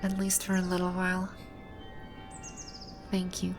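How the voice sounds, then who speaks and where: A woman's voice: lowish voice, monotone, measured pace, faint music behind. A woman voicing a haughty, bossy video-game villainess who stammers and halts when flustered, in an ASMR roleplay. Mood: softened, wondering. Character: haughty, flustered female video-game boss brought to life. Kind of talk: ASMR roleplay audio drama.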